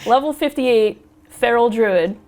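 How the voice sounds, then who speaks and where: A woman hosting a casual podcast chat, a little raspy as she gets over a cold, chatty and excitable and quick to laugh. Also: a little high, soft background music. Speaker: podcast host.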